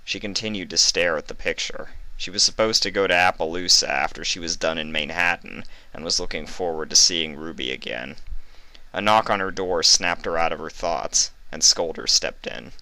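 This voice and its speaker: A man's voice: sits low, moderate pace, bright, forceful tone, no echo, rough recording. A man reading fan fiction aloud as scripted story narration.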